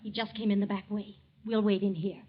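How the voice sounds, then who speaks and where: A woman's voice: medium pitch, flat delivery, a little fast, noisy background, lightly acted. A woman playing a scheming, cold-blooded young wife in a 1940s radio drama, insistent and urging. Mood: pushy, urgent. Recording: muffled 1940s radio recording.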